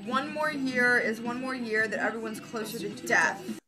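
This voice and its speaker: A woman's voice: on the high side, normal speed, low-quality recording. A young woman introducing a homemade video, with music behind her.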